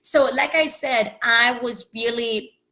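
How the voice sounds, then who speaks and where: A woman's voice: a little high, steady tone, slow pace, sharp tone. A woman from Haiti, a podcast host and coach, reflecting candidly in a rambling solo talk with many restarts. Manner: candid, rambling, with frequent restarts and "you know".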